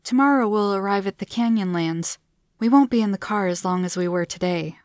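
Woman acting an open, expressive storybook heroine. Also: medium pitch, a little fast, no room sound, clean studio sound, lightly acted. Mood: upbeat. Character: warm, eager, chronically ill woman befriending an alien.

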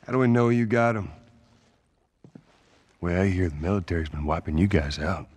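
A man playing a gruff, weary middle-aged smuggler in a game cutscene, terse and sardonic. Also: low-pitched, moderate pace, a little mellow. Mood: sardonic, wary. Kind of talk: video game cutscene acting.

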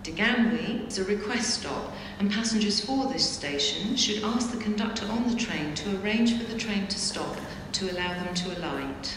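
A woman's voice: medium pitch, animated, normal speed. A woman reads formal automated railway station announcements in clipped, pieced-together phrases over a public-address system. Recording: echoing station PA, noisy, long reverb.

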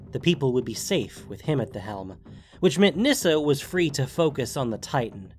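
A man narrates a fantasy story with theatrical, deliberate emphasis. His medium-pitched voice swings widely, over faint music. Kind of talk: dramatic scripted story narration.

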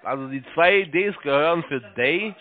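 High-pitched man on a tinny phone line. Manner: halting, repeats himself, spells things out slowly. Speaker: call-centre agent, phone scammer. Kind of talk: step-by-step phone sales instructions. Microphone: telephone.

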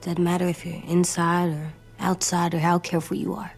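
Solemn little boy from a survival game, stubborn, plain childlike talk, soft music behind. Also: lowish voice, level tone, moderate pace, creaky. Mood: worried. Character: earnest, stubborn little boy in a zombie apocalypse. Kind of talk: naturalistic video game acting.

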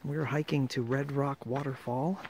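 A man's voice: mid-range voice, steady tone, normal speed, creaky, darkish tone, low-quality recording. A man filming a hike outdoors, casually announcing the places and the date from behind the camera. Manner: plainly announces places and dates. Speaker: hiker filming a trail video. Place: outdoors on a mountain trail by a lake and waterfall. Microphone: handheld camera mic. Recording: outdoor camera audio with noticeable background noise.

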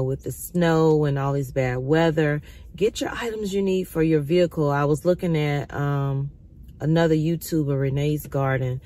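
A middle-aged woman vlogging from a parked car, her voice still slightly raspy as she recovers from illness, talking casually to her viewers and calling them y'all. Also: low-pitched, mellow. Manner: chatty, addresses viewers as y'all. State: recovering, occasional cough. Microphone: phone camera at arm's length.

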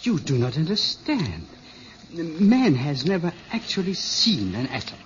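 Elderly scientist, absorbed, earnest, hesitant lecturer, very lively intonation, radio play, dull hissy old recording. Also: male voice, a little high, unhurried. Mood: earnest, lecturing. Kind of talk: 1950s radio drama acting.

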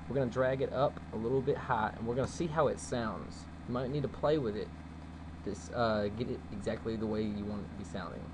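A man giving a casual, folksy software tutorial as its host. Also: medium pitch, brisk. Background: background noise.

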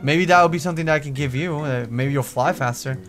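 A man chatting casually as he plays a roleplay video game, excitable and quick to exclaim or swear. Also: on the high side. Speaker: gaming video host. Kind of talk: casual Minecraft roleplay chat while playing. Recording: music under the voice. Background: background music.

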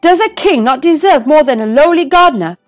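A woman's voice: fairly high pitch, lively intonation, moderate pace, dry recording, soft background music. A woman reading a storybook aloud and voicing a proud, demanding male king who barks orders. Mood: imperious. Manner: haughty, commanding, shouting orders.

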